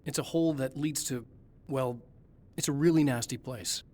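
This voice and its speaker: A man's voice: mid-range voice, moderate pace, darkish tone, close to an everyday voice. A man as a dry, determined novelist hero. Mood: wry. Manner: dry, matter-of-fact, wry. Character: weary, determined male horror novelist. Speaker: writer, protagonist. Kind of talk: game cutscene acting.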